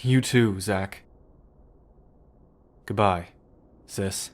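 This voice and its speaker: A man voicing an earnest, sincere brother in a dramatic audio roleplay, with a measured delivery. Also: lowish voice. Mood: heartfelt, resigned. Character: earnest brother saying farewell to his sister.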